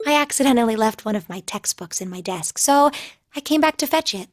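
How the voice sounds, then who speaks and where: Lady doing shy anime game schoolgirl, high voice, swoopy, hesitant and polite. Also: expressive, brisk, no echo, lightly acted. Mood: gentle. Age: young adult. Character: shy, flustered, kindly teenage girl student. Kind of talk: English anime-style game voice acting.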